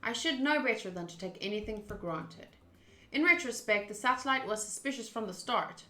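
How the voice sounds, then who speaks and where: A woman's voice: on the high side, lively intonation, a little fast, sharp tone. A woman streaming a visual novel, reading its narration aloud with casual reactions. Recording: roomy, echoing voice track. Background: game music.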